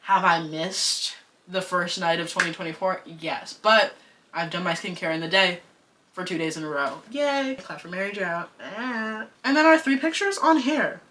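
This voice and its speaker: Bright-voiced chatty young woman vlogger. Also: on the low side, moderate pace. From United States. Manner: chatty, animated, slangy and self-joking. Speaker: vlogger and podcaster. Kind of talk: casual vlog chat.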